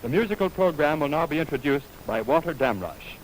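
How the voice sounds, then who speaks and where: A man reading a scripted announcement as a 1920s radio announcer, in a formal, measured, carefully enunciated manner. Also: on the high side, expressive. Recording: noisy 1929 radio aircheck on film.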